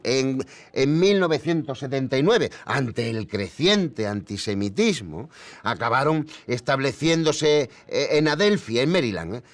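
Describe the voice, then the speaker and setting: Literal-minded Spanish expert, lively intonation, halting and measured, audio documentary, dry close recording. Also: male voice, medium pitch, no room sound. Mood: cautious, factual. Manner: hesitant, measured, stammering repeats. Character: cautious, literal-minded male historian and technology expert.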